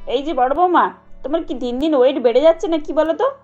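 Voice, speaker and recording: A woman's voice: high voice, quick pace, a little mellow, muffled recording, soft background music, natural voice. A woman voicing a stern, fault-finding older mother-in-law in a cartoon story, scolding and sarcastic.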